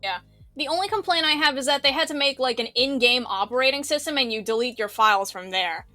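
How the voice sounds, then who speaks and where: A woman streamer with a bright, forward voice, talking in a casual, chatty way and getting keen on the details. The recording is close and dry, with faint music playing behind her. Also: high-pitched, quick pace, dry recording. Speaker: streamer co-hosting a visual novel tutorial. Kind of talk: casual chat.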